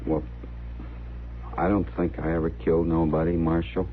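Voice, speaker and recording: An actor playing a troubled, gentle, simple-minded young man of the frontier in a 1950s radio western, with halting, earnest, rustic speech. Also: low-pitched, little pitch movement, close to an everyday voice. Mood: confused, subdued. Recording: muffled old radio recording, faint noise.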